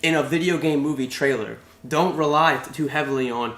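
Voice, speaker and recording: A man's voice: fairly high pitch, brisk, fairly bright tone. A man co-hosting a casual chat about video game movies, rambling with many restarts. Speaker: podcast co-host. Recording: echoey room.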